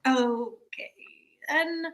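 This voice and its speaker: A woman's voice: sits high, drawn-out words, fairly bright tone, exaggerated voice. A woman playing a tipsy, haughty theater director in a comic staged reading, rambling and repeating herself. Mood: tipsy, bossy.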